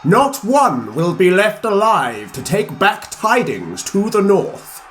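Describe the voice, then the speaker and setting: A man's voice: sits high, very animated, takes it slowly, fairly bright tone, faint music behind, voice pushed up, full character voice. A man voicing a proud, defiant king who speaks in a stately, commanding way.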